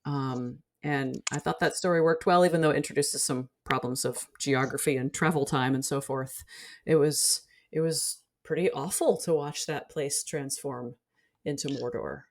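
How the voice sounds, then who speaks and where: Low-voiced woman in analytical conversation. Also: normal speed. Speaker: podcast guest, literature scholar. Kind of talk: casual panel discussion of a fantasy series.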